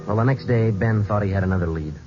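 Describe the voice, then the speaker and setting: An actor playing a police sergeant who narrates the case in a terse, matter-of-fact way, in a 1940s radio drama. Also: male voice, fairly low pitch, quick pace, a little hiss or hum, close to an everyday voice. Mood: flat. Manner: clipped, flat, matter-of-fact. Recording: dull old radio broadcast with music.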